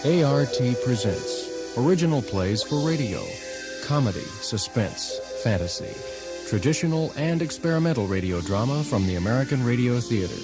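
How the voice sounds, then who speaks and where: Medium-pitched male radio announcer. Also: animated, moderate pace, loud music behind the voice. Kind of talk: scripted radio drama introduction.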